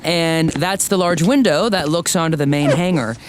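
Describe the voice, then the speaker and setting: A man's voice: on the high side, normal speed, background noise, lightly acted. A man playing an easygoing, clueless guide to a control room in a sci-fi comedy audio drama, casual and wry. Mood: casual, sheepish.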